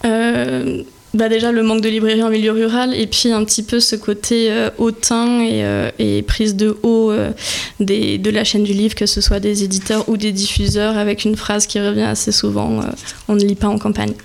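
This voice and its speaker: A woman in her twenties, from French Guiana, answering questions on a local radio show in a measured way with many hesitations. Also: mid-range voice, little pitch movement, gravelly. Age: 20s. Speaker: guest bookseller. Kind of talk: radio interview. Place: small local radio studio.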